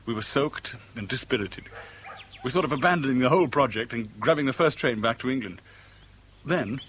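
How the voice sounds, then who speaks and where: A man's voice: medium pitch, fairly bright tone, lightly acted. An actor playing an intense, romantic middle-aged man with an earnest, poetic manner in a 1970s British radio drama. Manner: earnest, poetic, measured storytelling. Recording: faintly noisy old radio recording.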